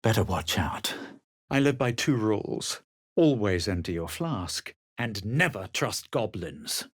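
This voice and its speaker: A husky fantasy game hero, sure he is better than you. His lowish voice swings widely in pitch with measured, superior drama. The recording is close and dry. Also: lively intonation, no echo. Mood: measured, self-assured. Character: smug, self-assured adventurer hero of a fantasy game. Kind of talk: video game voice lines, narration and spell calls.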